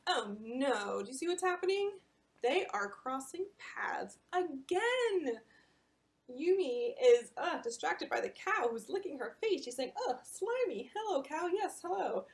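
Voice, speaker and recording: Woman librarian, bedtime story reading, high voice, very lively, explaining to kids. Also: takes it slowly. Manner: animated, explaining to children. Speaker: children's librarian.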